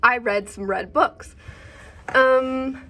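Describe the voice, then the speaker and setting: A woman chatting casually about books in a vlog, halting as she thinks aloud. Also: a little high, pushed and bright, slight background noise. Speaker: book reviewer and vlogger.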